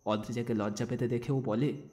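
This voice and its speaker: A man's voice: mid-range voice, fairly even intonation, brisk, mellow. A man reading scripted narration as the storyteller of an audio story. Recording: music bed under the voice.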